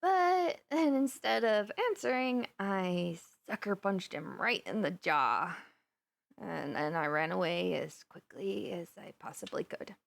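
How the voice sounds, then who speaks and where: A woman's voice: medium pitch, vocal fry, dry recording. A woman playing a flustered, lovestruck supervillainess in a roleplay audio drama, chatting intimately and dramatically to her best friend. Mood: flustered confession. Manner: chatty, dramatic, playfully indignant, with sighs and gasps. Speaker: voice actor.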